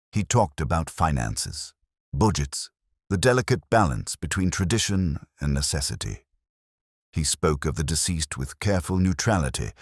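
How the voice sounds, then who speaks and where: A man reading scripted literary detective fiction in the first person, in a measured, formal, brooding manner. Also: a bass voice, no echo, studio quality. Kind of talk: scripted literary mystery narration.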